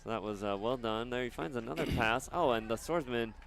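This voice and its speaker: A man doing live soccer commentary outdoors, chatting casually and haltingly between plays. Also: mid-range voice, vocal fry, fairly bright tone. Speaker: sports play-by-play announcer.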